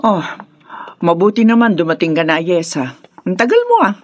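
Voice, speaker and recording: A woman's voice: fairly low pitch, lively intonation, normal speed, lo-fi sound, faint music behind. An actress playing a headstrong, lovestruck widowed mother in middle age, in emphatic Filipino radio drama style with a Filipino accent. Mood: irritated, scornful. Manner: emphatic, melodramatic.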